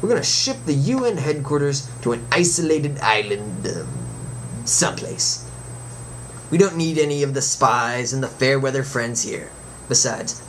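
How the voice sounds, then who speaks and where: A man's voice: on the high side, low-quality recording. A man playing a cocky superhero who delivers a comic monologue in a folksy, theatrical, opinionated manner. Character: cocky adventuring male superhero chatting to fans. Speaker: show host in character. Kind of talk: comic monologue, reading a letter aloud. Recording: background noise behind the voice.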